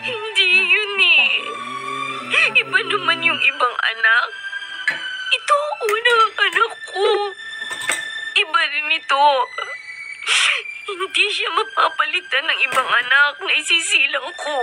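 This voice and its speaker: A woman's voice: very high-pitched, animated, unhurried, long pauses, over loud music. A woman with a Filipino accent playing an emotional young student mother, polite and full of longing, in a radio drama. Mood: anguished, crying.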